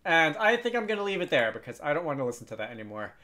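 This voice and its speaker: A man's voice: on the high side, sing-song, very fast, bright, forceful tone. A man presenting an unscripted game development tutorial in a casual, hesitant, rambling and self-deprecating way.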